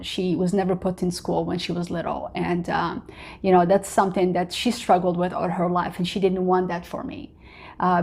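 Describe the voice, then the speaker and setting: A woman from Morocco speaks in an earnest, open way as the host of her own vlog. Her English is a second language, and she keeps filling pauses with "you know" and "uh". Her voice is pitched in a middle range. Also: darkish tone. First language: not English. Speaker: vlogger host. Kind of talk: personal motivational talk to camera.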